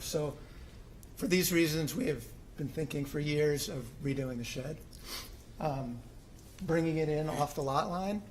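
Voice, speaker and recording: A man's voice: a little high, slight background noise, low-quality recording. A middle-aged man presenting his own plans to a city commission, informal and hesitant with many ums and restarts. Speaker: homeowner applicant at a city commission. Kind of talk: informal presentation at a public meeting. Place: city hall meeting room.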